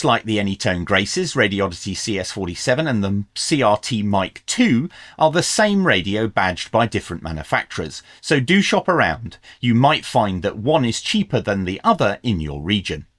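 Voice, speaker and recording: A man reads a scripted review as a presenter, with a mid-range voice that rises and falls in a lively way. His delivery is measured and clearly explained. The sound is close and dry. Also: medium pitch, dry recording. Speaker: presenter of a radio review video channel.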